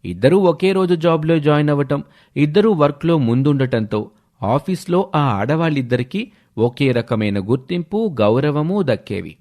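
A man's voice: on the high side, expressive, moderate pace, darkish tone. A man narrating a scripted story.

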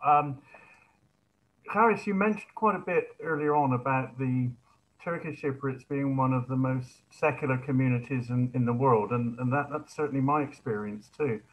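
A middle-aged man putting a spontaneous question at an online seminar, in halting phrases with repeated words. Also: mid-range voice, poor audio. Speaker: audience member asking a question. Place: living room, indoors. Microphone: video call, computer microphone.

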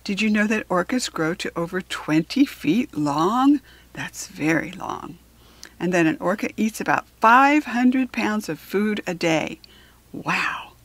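An American woman reading a children's book aloud in a deliberate, instructive way, adding chatty asides for young listeners. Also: medium pitch, expressive, a bit bright, no echo. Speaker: children's book reader and host.

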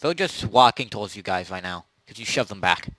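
A man improvising casual narration as game master of a role-play, often halting and correcting himself. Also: on the low side, animated, sharp tone, no echo, rough recording.

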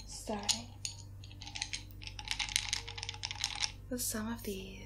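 A young woman playing a sly, vengeful witch in an ASMR roleplay, often whispering, breathy, deliberate, soothing yet menacing. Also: medium pitch, fairly even intonation, heavy background noise, lightly acted. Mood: scheming. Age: young adult. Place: small bedroom, indoors.

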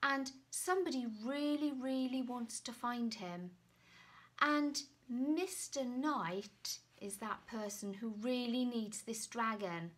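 A woman in her forties reading to children as a storyteller, expressive and often dropping into a hush, asking them questions. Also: on the high side, takes it slowly. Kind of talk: animated picture-book reading for children. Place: small room at home.

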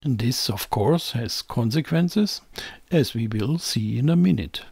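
A man's voice: mid-range voice, lively intonation, takes it slowly, creaky, a little mellow, husky, dry recording. A man presenting a scripted technical explainer in a didactic, emphatic way, as a close voice-over. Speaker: presenter of an electronics channel.

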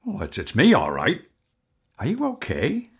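An agitated, conflicted partner in an audio drama, acted by a woman. The voice is very deep and husky, jumping around in pitch. The delivery is urgent and halting. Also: huge pitch swings, heavily acted. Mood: worried, relieved. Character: agitated armed partner named Pete, desperate and torn.

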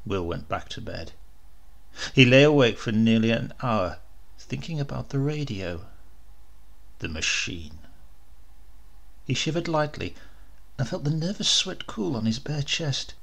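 A man reads a horror story in a creaky, forceful voice of middling pitch. His delivery is deliberate and theatrical, leaning into suspense as a dramatic narrator. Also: big pitch swings. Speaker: audiobook narrator.

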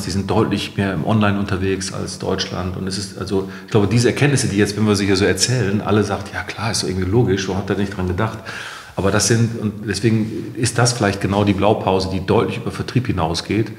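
A man's voice: fairly low pitch, fairly even intonation, fast talker. A middle-aged man, a company co-founder, explains things conversationally in an interview, mixing in English business terms. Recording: roomy, echoing interview recording.

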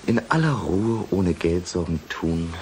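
A man's voice: deep voice, expressive, measured pace, background noise. A man in a German radio play acting a cynical, calculating student blackmailer, sardonic and mocking. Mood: gloating, calculating.

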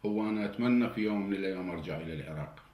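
Deep-voiced Iraqi man, indignant. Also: racing. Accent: Iraqi Arabic. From Iraq. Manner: indignant, grows heated and louder. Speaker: political commentator. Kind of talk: direct-to-camera political monologue. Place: indoor study with flag and cabinet. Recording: roomy indoor echo, faint hiss.

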